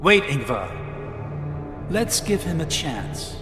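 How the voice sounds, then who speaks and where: An actor playing a smug, persuasive, commanding cult leader in a video game, theatrical and condescending in manner. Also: male voice, on the high side, big pitch swings, drawn-out words, exaggerated voice. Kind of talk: dramatic video game acting. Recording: echoey hall reverb, music behind.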